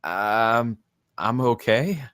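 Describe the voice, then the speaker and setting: A man's voice: medium pitch, animated, extremely slow, a bit bright, clear, resonant tone, no echo, studio quality. A man playing a shy, awkward, reluctant guest in a screenplay table read, hesitant and polite.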